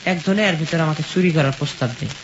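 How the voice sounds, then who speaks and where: A man's voice: on the high side, fast. A young man from rural Bangladesh telling his life story as a guest, in plain colloquial speech, quoting conversations as he goes. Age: young adult. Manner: plain colloquial village speech, recounting step by step. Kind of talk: personal storytelling in an interview, quoting dialogue. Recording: dull sound with steady sizzling noise.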